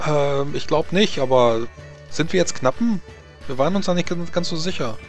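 A man's voice: a little high, moderate pace, soft background music, natural voice. A man voicing a naive, flustered young page in a tabletop game, hesitant and repeating his words. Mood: startled, unsure.

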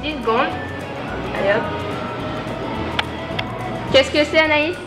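High-voiced young woman vlogging in echoey rooms. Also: slow. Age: 20s. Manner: enthusiastic, exclamatory. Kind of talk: casual holiday vlog, house tour. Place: holiday villa, rooms and terrace. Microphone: handheld camera at arm's length. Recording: echoey rooms, music over the voice.

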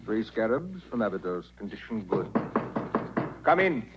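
An actor playing a precise, formal, impatient museum director in a 1940s radio drama. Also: male voice, on the low side, big pitch swings, moderate pace, over loud music. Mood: formal, concerned. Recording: dull 1940s radio recording.

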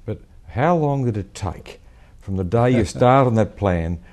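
A man's voice: fairly low pitch, lively intonation, a little slow, a little mellow. An older man interviewing a business founder, asking probing conversational questions, often with tag questions. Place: high-rise office meeting room. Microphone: lapel mic.

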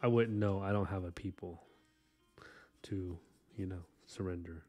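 A man's voice: deep voice, unhurried, faint music behind. A man who grew up speaking Korean, chatting loosely with his live chat at an art desk at home while he paints, full of fillers and asides. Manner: relaxed, rambling, many fillers. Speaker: artist streamer.

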